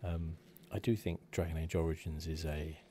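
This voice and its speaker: A man giving casual ASMR commentary on a game playthrough in a hushed, rambling, musing manner. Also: a bass voice, fairly even intonation, normal speed, a little hiss or hum. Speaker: gaming video host.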